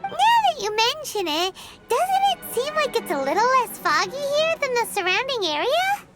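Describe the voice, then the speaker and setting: A woman voicing a tiny, childlike, excitable fairy companion in a video game. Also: very high-pitched, normal speed, loud music behind the voice. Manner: talks about self in third person.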